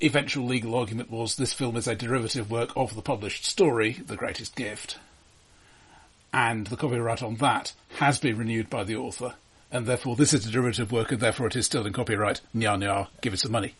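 A man with a husky voice co-hosting a film podcast, talking off the cuff in a hesitant, stammering, wryly critical way. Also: medium pitch, fairly even intonation, quick pace, a bit bright. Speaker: podcast host. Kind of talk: unscripted film discussion.

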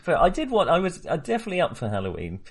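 A man co-hosting a film podcast in casual discussion, talking haltingly and often correcting himself. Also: on the high side, wildly swooping pitch, slightly rough. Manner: hesitant, halting, self-correcting.

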